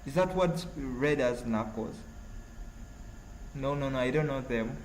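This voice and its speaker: A man with a Ugandan accent chatting casually on a livestream as he answers viewers, in a halting, repetitive, earnest way. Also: mid-range voice, poor audio. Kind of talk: casual live chat with viewers, reading out messages. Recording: noticeable background noise.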